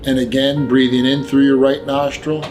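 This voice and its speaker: A man narrating a guided meditation, giving gentle, unhurried instructions with long pauses. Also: mid-range voice, little pitch movement, low-quality recording. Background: background music.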